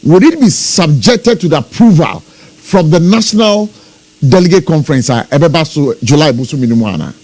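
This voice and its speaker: A man's voice: on the high side, lively intonation, normal speed, darkish tone, poor audio. A man with a Ghanaian accent interviewing on radio, putting questions in a deferential, hesitant, conversational way.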